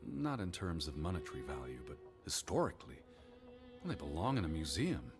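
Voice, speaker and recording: Low-pitched 1920s American naturalist, formal, measured, pedantic, sceptical, video game drama, music behind. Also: male voice, sing-song. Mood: pondering. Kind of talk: scripted game dialogue and reading aloud.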